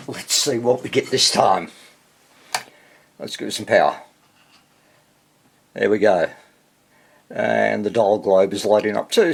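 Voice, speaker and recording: A man giving casual hands-on repair narration, haltingly muttering to himself as he works and thinks aloud. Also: mid-range voice, vocal fry, a little hiss or hum. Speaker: hobbyist radio restorer, presenter.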